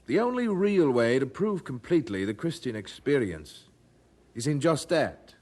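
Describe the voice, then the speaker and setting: An actor playing a devout, firm colonial preacher in an audio drama, speaking earnestly in formal, articulate phrases. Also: male voice, medium pitch, big pitch swings, darkish tone. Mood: resolute. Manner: formal, measured wording, earnest.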